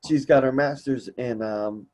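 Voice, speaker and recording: A man's voice: medium pitch, unhurried, darkish tone. Guy hosting a support group call, chatty and earnest, kinda rambles, regular mid voice. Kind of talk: casual conference-call conversation.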